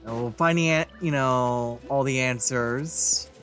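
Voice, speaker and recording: A gameplay commentator speaking casually and slowly, tossing out wry asides in a mid-pitched voice, with faint music behind. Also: fairly bright tone. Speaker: let's play host.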